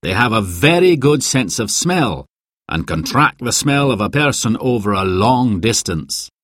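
A man's voice: medium pitch, lively intonation, a little slow, a bit bright, dry recording, clean studio sound. A man voicing a kindly older male hostel keeper who explains things patiently in a storybook.

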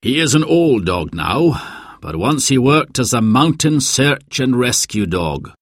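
A man's voice: mid-range voice, big pitch swings, unhurried, no room sound, studio quality, pitched above the performer's own voice. A man voicing a kindly older male hostel keeper who explains things patiently in a storybook.

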